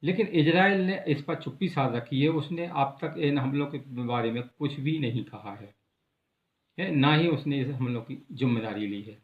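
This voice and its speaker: Echoey, phone-like Indian man explaining news. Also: mid-range voice. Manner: halting, repetitive, with frequent filler words. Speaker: news presenter. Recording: echoey room, thin phone-like sound.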